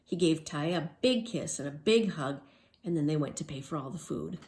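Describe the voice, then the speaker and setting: A woman reading a children's story aloud as a storyteller, expressive and emphatic. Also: low-pitched, moderate pace, a little hiss or hum.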